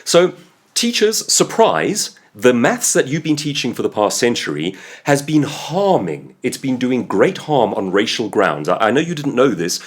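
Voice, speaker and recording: South African male host, 30s, husky, emphatic and sarcastic, hugely lively pitch. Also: measured pace. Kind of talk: opinion commentary monologue.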